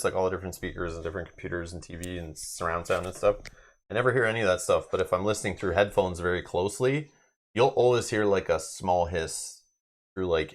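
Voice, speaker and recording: A man's voice: low-pitched, fairly bright tone. A man hosting a live board game stream in casual chat, explaining things at length in a conversational way.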